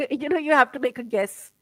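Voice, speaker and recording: A woman's voice: high-pitched, no echo. Chatty woman on a video call. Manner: chatty, halting, full of "you know" and repeats. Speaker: interviewer. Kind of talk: casual conversational interview.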